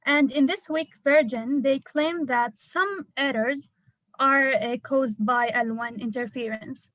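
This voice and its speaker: A young woman who speaks English presents to her class. She explains step by step and sometimes pauses. Her voice is high and dry, with no room echo. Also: a bit bright. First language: Arabic. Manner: careful, explanatory, slightly hesitant. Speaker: student.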